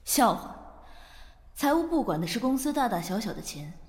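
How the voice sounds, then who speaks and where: A woman's voice: a little high, big pitch swings, moderate pace, long reverb tail. An actress playing a self-assured female finance director in an audio drama, curt, composed and businesslike. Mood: guarded, irritated. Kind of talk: Chinese audio drama acting.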